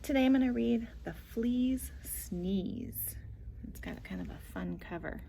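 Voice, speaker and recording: A woman reading a picture book aloud to children in a sing-song, dramatic way, often dropping to a hushed near-whisper. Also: unhurried. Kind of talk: expressive children's story read-aloud. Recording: clear background noise, vehicle-like rumble.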